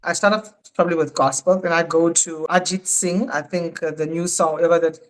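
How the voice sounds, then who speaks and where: A South African man in his thirties, a podcast guest in casual, animated chat who laughs often. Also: fairly high pitch, brisk. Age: 30s.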